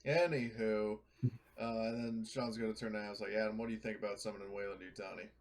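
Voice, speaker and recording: A man's voice: lowish voice, little pitch movement, racing, a little hiss or hum. A man playing a tabletop roleplaying game, talking casually in and out of character in a blunt, argumentative way.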